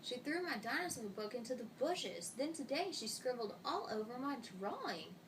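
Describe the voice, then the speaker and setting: A woman's voice: fairly high pitch, big pitch swings, moderate pace, voice pushed up. A woman voicing a friendly, earnest young schoolboy in a children's storybook. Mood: upset. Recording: distant mic, loud noise.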